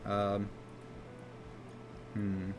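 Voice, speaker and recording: A man with a lowish, fairly monotone voice chats loosely while he codes on a live stream, swearing casually and circling back on his thoughts. A faint hiss of noise sits under the sound of his desk mic. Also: drawn-out words. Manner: rambling, laid-back, swears casually, frequent "like" fillers. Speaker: game dev streamer.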